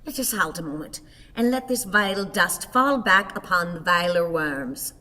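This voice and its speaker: A woman's voice: fairly low pitch, expressive, moderate pace, sharp tone. A woman acting a weary, querulous, gloomy old wife in a radio play, with theatrical complaint. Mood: bitter, despondent. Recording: hissy, reverberant hall recording.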